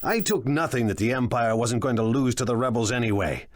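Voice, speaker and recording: An actor playing a confident, sly crime lord in a video game, sardonic and self-assured. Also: male voice, mid-range voice, expressive, brisk, no room sound, studio quality, natural voice. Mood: defiant. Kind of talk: scripted video game acting.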